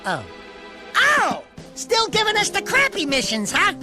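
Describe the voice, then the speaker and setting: A man's voice: very high-pitched, wildly swooping pitch, unhurried, music in the background, heavily acted. A man voicing a small, cocky, wisecracking talking animal sidekick in a video game, with a brash cartoon voice. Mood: nervous griping. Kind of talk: video game cutscene acting.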